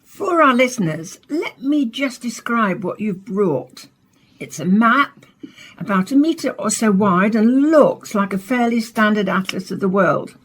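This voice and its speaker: An actress playing a composed, courteous radio science presenter in a radio play, speaking in a polished, formal way. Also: female voice, mid-range voice, expressive, measured pace, husky. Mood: welcoming, composed. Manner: polished, formal, carefully phrased. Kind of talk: scripted radio play, science broadcast delivery.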